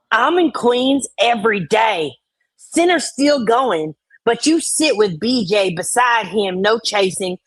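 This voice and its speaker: A woman's voice: fairly high pitch, breathy edge, no room sound. A woman co-host giving casual commentary, reading comments out dramatically and reacting bluntly, with exasperation and free swearing.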